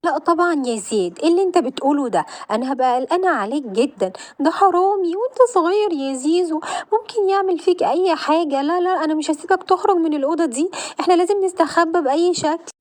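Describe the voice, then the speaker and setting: A woman's voice: extremely high pitch, lively intonation, very fast, darkish tone, pitched above the performer's own voice. A woman doing a timid little girl. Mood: frightened. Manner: fearful, worried. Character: young girl Lily, timid little sister.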